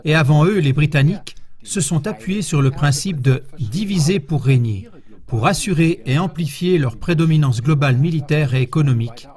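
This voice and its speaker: A man reading a scripted news report in a measured, formal voice-over. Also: on the high side, a little mellow. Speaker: news narrator.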